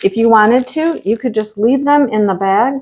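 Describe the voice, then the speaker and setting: A woman's voice: fairly high pitch, moderate pace, a little mellow, highs cut off. A woman hosting her crafting channel, chatting casually through an unboxing, a little stuffy with a cold and a slight cough. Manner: chatty, homey, talks to viewers directly.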